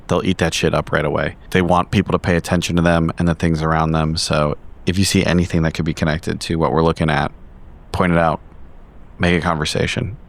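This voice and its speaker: A man improvising as a player in a tabletop role-playing game, speaking casually and haltingly. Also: low voice. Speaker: player in an actual-play podcast.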